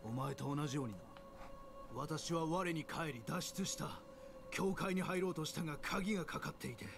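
Grim gravelly villager guy, higher pitched, dark fantasy game, music behind. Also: moderate pace. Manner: urgent, grim. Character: gritty, alarmed village man survivor in dark fantasy.

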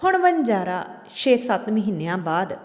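A woman storyteller with a medium-pitched voice tells a moral folk tale. She delivers it deliberately in short phrases with pauses between them. The recording carries a long reverb, as if in a hall. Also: big pitch swings, a little mellow.

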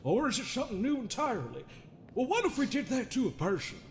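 A man's voice: extremely high pitch, very animated, fast, slight background noise, exaggerated voice. A man voicing an unhinged, sardonic therapist with folksy menace, theatrical and mocking, in an improvised comedy dub of a horror game. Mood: mock philosophical.

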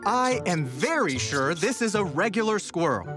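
A woman's voice: lowish voice, sing-song, moderate pace. A woman narrating a children's storybook read-aloud in a playful, emphatic storytelling manner. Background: music under the narration.

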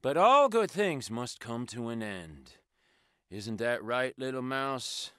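A man's voice: medium pitch, very animated, takes it slowly, clean tone, no echo, clean studio sound. An actor playing a sadistic, gloating male villain in a video game, taunting his prey with menacing glee. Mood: soft, sinister taunting. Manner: taunting, gleeful, menacing, swinging from murmurs to shouts. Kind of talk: video game combat voice lines.

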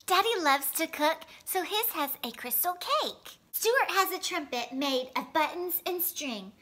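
A woman in her thirties reading a children's storybook aloud in an expressive, animated way, phrased for young listeners. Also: very high-pitched, a little slow. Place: living room at home, indoors.

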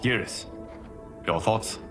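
An actor playing a noble warrior-god protector in a video game, formal, earnest and dignified. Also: male voice, slow, fairly bright tone. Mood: questioning, grave. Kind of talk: video game cutscene acting. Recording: music clearly behind the voice. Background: music.

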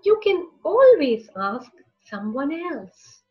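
A woman narrating a picture book for young children, with deliberate, expressive phrasing and short pauses. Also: high voice, dull audio. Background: music.

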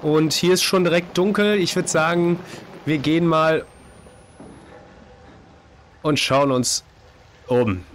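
A hoarse man in his thirties with a cold, casually commenting on a horror game at a desk microphone, reacting jumpily to scares. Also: fairly high pitch, slow pace, long pauses. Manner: chatty, jumpy reactions to scares. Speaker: let's play host. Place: home streaming room, indoors. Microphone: desk microphone, headset on.

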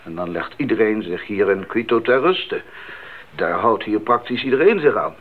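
A man's voice: fairly low pitch, expressive, normal speed. An actor playing a polite man who greets visitors and explains local customs in a radio drama. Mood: polite, apologetic. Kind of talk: 1980s Dutch radio drama acting. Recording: narrow tinny old recording.

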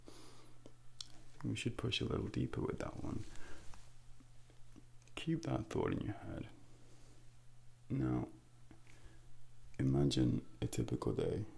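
A man in his thirties doing a soft-spoken, whispered ASMR role play as a calm, controlling devil, with a breathy gravelly voice and a deliberate hypnotic manner, close to a binaural microphone. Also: extremely low pitch. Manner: slow, deliberate, hushed, hypnotic guiding. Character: the Devil, suave male tempter, calm and controlling. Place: dark indoor studio, close up.

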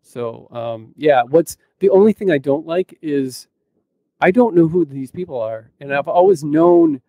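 A man with a somewhat higher voice talks in a casual, conversational way. He is a podcast co-host speaking close to a studio mic, with hardly any room sound. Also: animated, a little slow, mellow, dry recording. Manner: informal, conversational. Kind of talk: casual chat. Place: small room at home, indoors. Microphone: close studio mic on boom arm.

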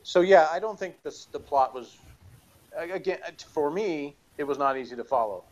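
A man's voice: fairly high pitch, expressive, moderate pace, a little mellow. Rambling man reviewing at home. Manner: rambling, self-deprecating, hesitant restarts. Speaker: show co-host. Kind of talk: casual review chat. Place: home room with bookshelves, indoors. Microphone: headphones on, desk camera.

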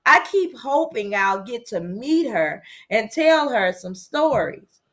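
A woman reads the lines of a lonely, curious young girl from a children's book. She is earnest and plain-spoken. The delivery is slow, with a wide, lively range of pitch. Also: exaggerated voice. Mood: sad, confiding. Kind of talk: storybook character read aloud.

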